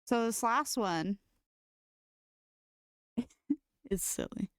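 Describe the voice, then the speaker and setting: An American woman co-hosting a casual podcast, telling stories in an animated, slangy way with plenty of swearing. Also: on the high side, extremely slow, no echo, clean studio sound. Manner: animated, profane, slangy storytelling. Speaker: podcast co-host. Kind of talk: casual chat, reading funny stories aloud.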